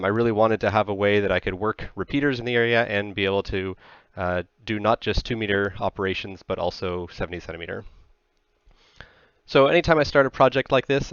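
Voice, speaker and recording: An American man in his thirties giving a technical slide presentation in a measured, explanatory manner, recorded on a close headset mic. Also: fairly low pitch, fairly bright tone, no echo, muffled recording.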